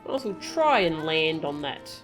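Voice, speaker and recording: A woman's voice: low-pitched, very animated, normal speed, over music. A woman giving casual live commentary as she streams a video game.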